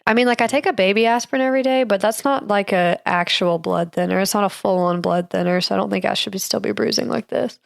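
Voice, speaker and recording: Creaky-voiced woman with a cold. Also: mid-range voice, fast talker, few pauses, no room sound, studio quality. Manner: chatty, laughs often. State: sick, losing her voice, coughing. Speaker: podcast co-host. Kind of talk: casual chat.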